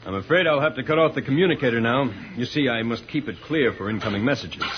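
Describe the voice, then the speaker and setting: An actor playing a calm, commanding alien spaceship captain who speaks formally in an old-time radio science fiction serial. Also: male voice, mid-range voice, fast talker, close to an everyday voice. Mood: businesslike. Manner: formal, explanatory. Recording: dull, noisy old radio recording.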